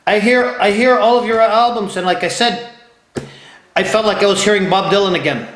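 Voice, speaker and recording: Male interview host from Ohio, high voice, eager, restarts a lot; echoey room. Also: fairly quick, fairly bright tone. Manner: eager, repeats and restarts phrases. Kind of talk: casual interview.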